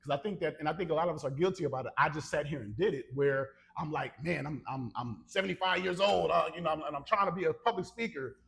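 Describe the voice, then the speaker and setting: Casual American man, slightly higher voice. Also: fast, creaky, few pauses, a bit bright. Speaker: podcast co-host. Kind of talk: casual conversation between friends.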